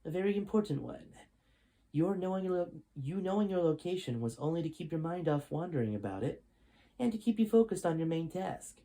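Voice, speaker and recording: A man's voice: a little high, very animated, brisk, mellow, pitched above the performer's own voice, rough recording. A man voicing a cold, smug military general in a storybook, with a condescending, commanding manner. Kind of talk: storybook character dialogue.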